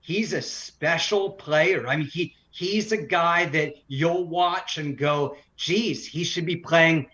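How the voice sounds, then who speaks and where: A man's voice: on the high side, takes it slowly, hardly any pauses, sharp tone. A man giving conversational sports analysis as an interview guest, a broadcaster who makes his points emphatically in runs. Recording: slightly dull, lo-fi audio.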